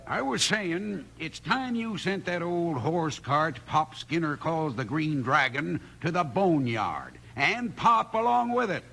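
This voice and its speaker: Gravelly, slick scheming villain. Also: male voice, a little high, very animated, slow, few pauses, bright, forceful tone, heavily acted. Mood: pushy persuading. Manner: smug, pushy, threatening. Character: slick, scheming, bullying city salesman villain. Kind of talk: 1950s radio western acting.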